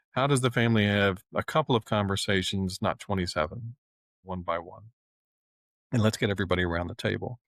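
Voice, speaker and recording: Low creaky-voiced man explaining business advice. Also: no echo. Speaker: family office advisor.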